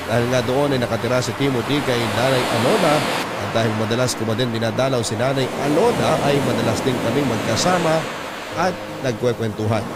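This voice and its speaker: A man with a Filipino accent reading a letter as a radio host, in measured, heartfelt, dramatic narration. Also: medium pitch, very noisy. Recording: loud music under the voice.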